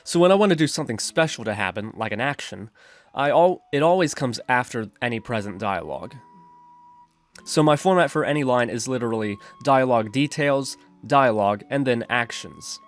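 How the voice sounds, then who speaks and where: A man's voice: mid-range voice, expressive, fairly quick. A man narrating an instructional screencast as a tutorial host, explaining methodically step by step. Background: background music.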